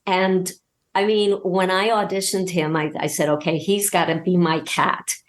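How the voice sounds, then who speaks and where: Low-pitched woman chatting in an interview. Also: fairly even intonation, normal speed. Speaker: podcast guest and voice actor. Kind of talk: casual interview chat.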